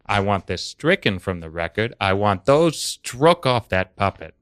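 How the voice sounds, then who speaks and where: A man's voice: lowish voice, big pitch swings, unhurried, fairly bright tone, dry recording, clean studio sound. A man playing an exasperated, self-important senior puppeteer who scolds emphatically in an improvised comedy scene. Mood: scolding, emphatic.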